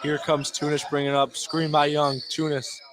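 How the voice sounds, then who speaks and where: A man doing live play-by-play radio commentary of a high school basketball game in short, clipped phrases. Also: little pitch movement, normal speed, noisy background. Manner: clipped phrases calling action as it unfolds. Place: indoor basketball gym, courtside.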